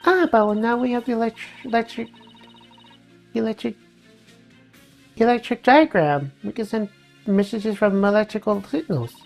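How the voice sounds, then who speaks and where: Woman doing an eager, high-pitched young woman. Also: moderate pace, creaky, pauses often, soft background music. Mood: excited.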